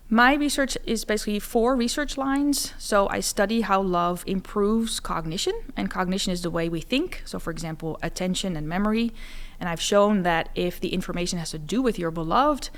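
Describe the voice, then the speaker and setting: A woman's voice: mid-range voice, fairly quick. A woman from the Netherlands, a professor explaining her research conversationally as an interview guest. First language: Dutch. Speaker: psychology professor, podcast guest.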